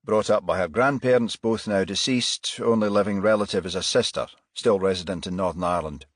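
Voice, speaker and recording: A man's voice: on the low side, fairly quick, no room sound, studio quality, close to an everyday voice. A man playing a dutiful, factual police detective in a crime audiobook. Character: dutiful male police detective reporting to his boss.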